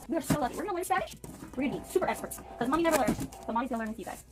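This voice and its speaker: A woman's voice: high-pitched, animated, racing. A woman chatting casually and enthusiastically in a vlog, as a homeschooling mother talking to viewers and her children. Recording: music behind the voice. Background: music.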